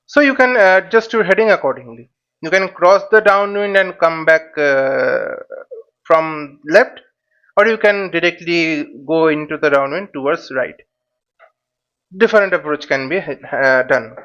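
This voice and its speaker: A high-voiced man explains things slowly, like an instructor at a whiteboard. He works step by step, putting questions and then answering them. The recording is slightly dull and lo-fi. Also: fairly bright tone. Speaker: flight simulation instructor. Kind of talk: informal whiteboard tutorial.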